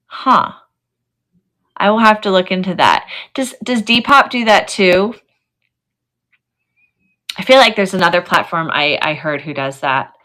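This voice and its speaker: A woman hosts a casual live shopping stream in a slightly low voice with a bright, crisp edge. She talks directly to her viewers in a chatty way, responding to comments and working things out aloud. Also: a little slow, long pauses. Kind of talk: casual livestream chat with viewers.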